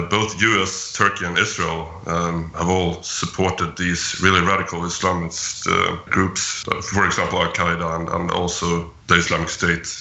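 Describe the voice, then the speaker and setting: A man hosts a radio talk show with a very deep, flat, sharply bright voice. He asks his questions haltingly, restarting often. The sound is roomy and echoey. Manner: halting, many fillers and restarts. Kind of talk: hesitant interview questions.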